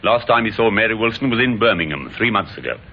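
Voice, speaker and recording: An actor playing a deferential, businesslike police sergeant in an old-time radio drama. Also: male voice, lowish voice, lively intonation, fast. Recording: dull vintage radio recording.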